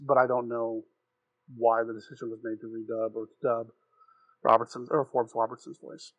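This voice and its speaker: A man's voice: medium pitch, little pitch movement, moderate pace, no room sound, highs cut off. A man taking part in a casual film discussion, explaining things in a knowledgeable, informal way.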